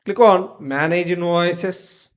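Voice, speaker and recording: A tutorial presenter narrating step-by-step software instructions in short, clipped commands. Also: mid-range voice, takes it slowly.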